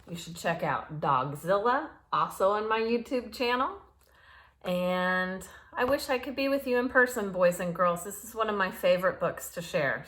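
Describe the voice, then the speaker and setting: A woman storyteller reads to children with big, lively swings in pitch. Her pace is slow and unhurried. She is playful and expressive, often turning to speak straight to her young listeners. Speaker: storyteller reading picture books aloud for children. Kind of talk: animated read-aloud with asides to young listeners.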